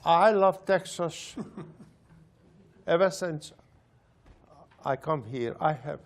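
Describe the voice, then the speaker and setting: An older Hungarian man speaks in slow, broken English with a strong Hungarian accent. He pauses often and laughs between his phrases. His voice sits a little high for a man. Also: lively intonation. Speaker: panelist. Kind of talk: casual storytelling.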